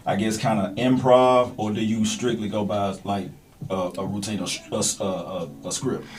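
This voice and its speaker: Low-voiced man, slightly off-mic. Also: flat delivery, moderate pace. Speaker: podcast host. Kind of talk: casual chat, interviewing. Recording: slightly distant from the microphone.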